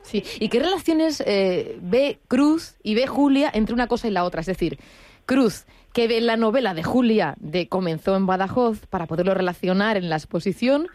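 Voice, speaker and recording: A woman's voice: mid-range voice, lively intonation, moderate pace, darkish tone, no echo. Playful woman radio host. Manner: playful, teasing. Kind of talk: casual radio chat.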